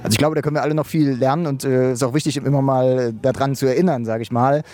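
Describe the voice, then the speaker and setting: A man interviewing a guest on a sports podcast, his voice dark and mellow. He asks friendly questions and stumbles through frequent hesitations. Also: mid-range voice, fairly even intonation, fast talker, faint music behind. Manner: friendly, hesitant, many "ähm" fillers.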